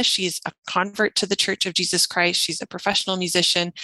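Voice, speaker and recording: A woman's voice: on the low side, creaky, a bit bright, no room sound, rough recording. A woman co-hosting a podcast, introducing her guest in an upbeat, eager way. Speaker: podcast co-host.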